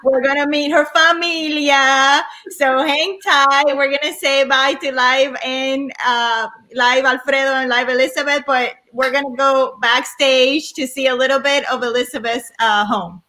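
A woman's voice: high voice, fairly even intonation, unhurried, few pauses, pushed and bright. A woman hosting a festival session on a video call, upbeat and effusive. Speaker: festival host. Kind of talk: enthusiastic event hosting. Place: room at home. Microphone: laptop webcam mic.

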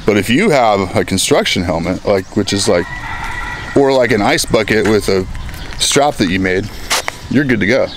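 A man's voice: fairly low pitch, lively intonation, moderate pace. An American man in his thirties talking to a handheld camera in a town plaza, rambling and joking in a casual vlog. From United States. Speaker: vlogger. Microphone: handheld camera at arm's length. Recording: outdoor recording with clear street noise.

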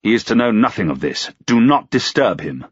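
A man's voice: lowish voice, big pitch swings, moderate pace, breathy edge, no room sound, muffled recording, voice pushed up, full character voice. A man voicing an imperious, suspicious medieval duke with archaic, commanding, sardonic speech in an audiobook. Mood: angry, sneering. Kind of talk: dramatised audiobook dialogue.

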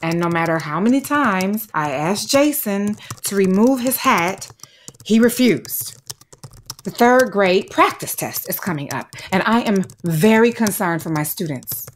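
A female actor plays a devoted grade-school teacher in a radio play. Her mid-range voice is measured and formal, firm with an anxious edge, over clearly audible noise. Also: medium pitch, lively intonation, close to an everyday voice. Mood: worried. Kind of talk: audio drama acting.

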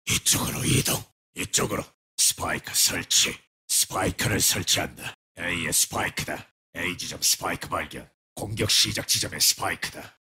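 An actor playing a menacing, tormented shadow wraith in a video game, with a gravelly, breathy rasp and grave, terse delivery. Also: male voice, low voice, pushed and bright, full character voice. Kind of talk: video game character voice lines.

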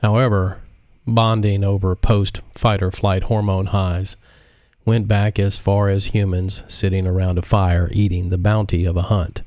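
A man reading his own novel aloud in a relaxed live audiobook stream, with chatty asides. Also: sits low, measured pace, no room sound, clean studio sound.

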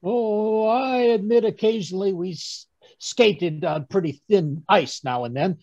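Guy doing a fussy old man in a radio play, blustery and stammery, creaky voice, dull call audio. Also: sits high, measured pace, a little mellow, dry recording. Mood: reminiscing. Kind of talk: 1930s radio drama acting. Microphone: video call.

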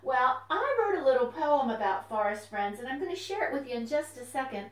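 High, lively woman hosting children's storytime. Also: a little fast. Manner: addresses young children with simple questions and encouragement. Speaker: children's librarian, storytime host. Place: indoors at home. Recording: echoey room, distant mic.

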